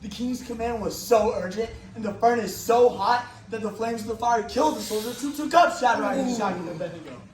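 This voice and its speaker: A man's voice: very high-pitched, brisk, few pauses, a little hiss or hum. A man narrating a dramatized recitation of a Bible passage. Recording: echoey hall, far from mic.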